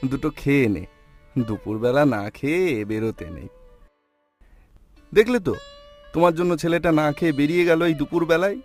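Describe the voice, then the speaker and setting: A man's voice: on the high side, close to an everyday voice. A man voicing a caring but exasperated middle-aged uncle in a cartoon, pleading and protesting. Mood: pleading, reproachful. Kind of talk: cartoon voice acting.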